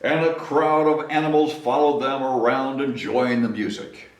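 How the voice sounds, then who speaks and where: A man's voice: mid-range voice, steady tone, normal speed. A man reading a children's story aloud expressively, with chatty asides to young listeners. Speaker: storybook reader, city mayor. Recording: roomy echo, distant mic, faint noise.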